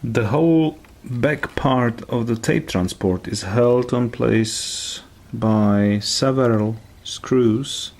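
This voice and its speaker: A man giving a careful, step-by-step hands-on repair tutorial in halting phrases. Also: mid-range voice, creaky, a little mellow, breathy edge.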